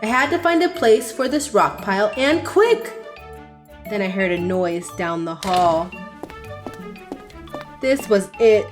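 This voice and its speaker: Animated woman reading a children's story. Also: mid-range voice, slow pace, long pauses, soft background music. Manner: expressive, sing-song. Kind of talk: read-aloud storytelling for children.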